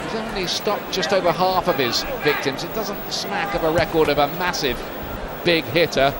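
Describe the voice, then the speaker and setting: A man giving live boxing commentary at ringside, mostly measured play-by-play that rises to excited shouting at the knockdowns. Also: fairly high pitch. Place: arena ringside. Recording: broadcast with loud crowd noise. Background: loud arena crowd.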